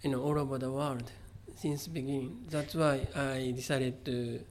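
A middle-aged man from Japan with a Japanese accent, answering interview questions about his art in a halting way, with many fillers and restarts. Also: mid-range voice, fairly even intonation, slight background noise. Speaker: visual artist, interview guest. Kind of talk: conversational interview.

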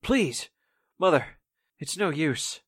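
An actor playing a mild-mannered, evasive son under suspicion, hesitant and polite, in 1940s-style radio drama. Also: male voice, fairly high pitch, lively intonation, slow, a bit bright, slightly rough, dry recording, studio quality, full character voice. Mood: resigned, pleading.

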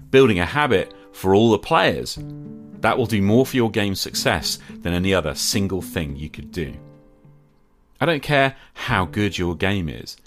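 A man's voice: on the low side, a bit bright. A middle-aged man hosting a podcast, delivering an earnest scripted monologue in short, emphatic phrases. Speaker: podcast host.

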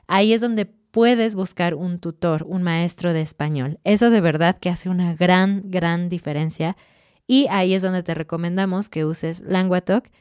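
A woman hosting a podcast for Spanish learners, giving clear, encouraging advice with lively asides. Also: lowish voice, measured pace, no room sound, clean studio sound. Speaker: Spanish teacher and podcast host.

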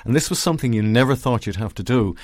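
A man with an Irish accent hosting a conversational arts interview in a measured, reflective way. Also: mid-range voice, animated, no room sound, studio quality. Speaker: radio interviewer.

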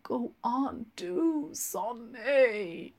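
A woman's voice: a little high, animated, very slow, a little mellow, voice pushed up, heavily acted. A woman voicing a gleeful, wheedling man who pesters his companions at a bar in an audiobook. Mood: gleeful urging. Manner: urgent, eager pleading and exclaiming.

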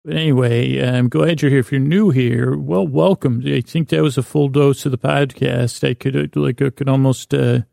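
An American man with a creaky voice hosting a bedtime podcast, rambling in a halting, meandering way with stammered false starts and self-interruptions. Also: medium pitch, fairly even intonation, dark tone, no room sound, studio quality. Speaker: podcast host. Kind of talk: rambling bedtime monologue to help listeners sleep.

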